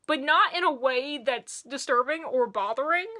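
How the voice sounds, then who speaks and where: A woman who is losing her voice hosts a casual song review to camera, gushing and excitable, often restarting her sentences. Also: high-pitched, normal speed. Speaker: music reviewer and video host.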